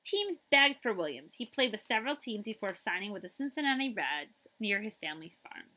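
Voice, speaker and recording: A middle-aged woman reading a children's picture book aloud, with dramatic emphasis and pauses. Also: mid-range voice, very animated, bright, forceful tone.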